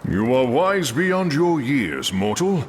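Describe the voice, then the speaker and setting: A man's voice: medium pitch, big pitch swings, takes it slowly, a little hiss or hum. A man playing a proud, noble warrior god of thunder in a video game, with stately, archaic, declamatory delivery. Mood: solemn, proud. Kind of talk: theatrical video game voice acting.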